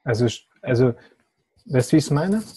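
Mellow, husky man interviewing. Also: mid-range voice, animated, moderate pace, no room sound. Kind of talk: reflective interview conversation. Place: plain room indoors. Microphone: video call.

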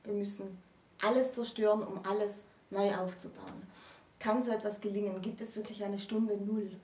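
A woman in her thirties explaining literature in an articulate, emphatic way, in deliberate phrases. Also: mid-range voice, steady tone, darkish tone. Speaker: presenter of a literature explainer series. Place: small indoor room with bookshelves. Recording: roomy, distant microphone.

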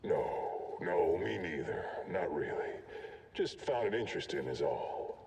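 A man's voice: sits low, big pitch swings, a little slow, creaky, noisy background, exaggerated voice. A man playing an easygoing, world-weary raider mercenary in a video game, with a wry, folksy manner. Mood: wistful, casual. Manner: laid-back, wry, folksy. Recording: reverberant, faint game ambience behind.

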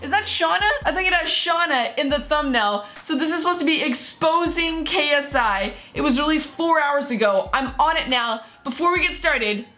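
A woman in her thirties reacting to a video from her home gaming room, animated and exclaiming, her voice slightly hoarse. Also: normal speed, hardly any pauses. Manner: animated, exclaiming, sponsor read in lower voice. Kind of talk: excited reaction commentary.

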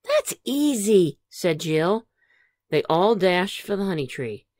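A woman reads a picture book aloud for children. Her voice sits a little low but moves around in lively, expressive swoops. The sound is dry, with little room in it. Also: unhurried, dry recording. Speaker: storybook reader.

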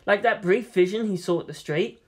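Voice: mid-range voice, fairly quick, a little mellow, husky. A narrator reading a story aloud in a dramatic, scripted style. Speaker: audiobook narrator.

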